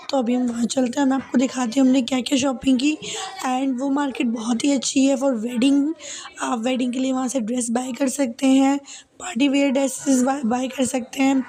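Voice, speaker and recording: A young woman narrating her shopping vlog in a chatty, casual way, her voice a little hoarse from a sore throat. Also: high-pitched, little pitch movement, moderate pace, warm tone. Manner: chatty, many fillers and "ठीक है" asides. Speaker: vlogger.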